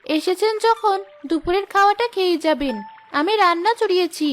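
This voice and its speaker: A woman's voice: very high-pitched, brisk, a little hiss or hum, pitched above the performer's own voice. A woman voicing a hospitable, contented village housewife in a cartoon. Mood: warmly inviting. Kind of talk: cartoon dialogue.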